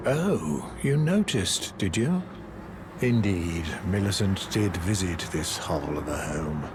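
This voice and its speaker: Slow, gentle old sorcerer. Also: male voice, low-pitched, animated, noisy background. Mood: wistful, gentle. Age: older. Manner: slow, archaic, formal phrasing. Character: old male sorcerer sage, gentle, grateful, wistful. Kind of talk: dramatic fantasy game acting.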